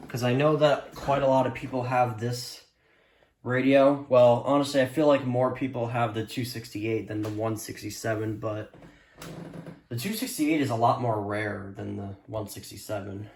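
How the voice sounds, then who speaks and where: A man casually showing off a gadget on a vlog, unscripted, hesitant and repetitive. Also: mid-range voice. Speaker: weather radio hobbyist vlogger. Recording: echoey room with background hiss.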